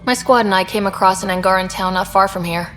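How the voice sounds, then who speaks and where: A woman's voice: mid-range voice, fairly even intonation, fast, fairly bright tone, faint music behind, natural voice. A woman playing a determined, direct young squad leader and explorer in a science fiction video game. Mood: probing, dry.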